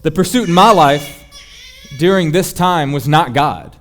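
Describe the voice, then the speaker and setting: A man's voice: fairly high pitch, a little slow. A man preaching a sermon in an emphatic, rhetorical and confessional manner. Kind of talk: sermon, teaching.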